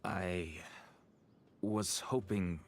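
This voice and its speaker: An actor playing a weary middle-aged smuggler and devoted father in a video game, speaking in a measured, earnest way. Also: deep voice, fairly even intonation, a little hiss or hum. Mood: sombre, pleading. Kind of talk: dramatic video game dialogue.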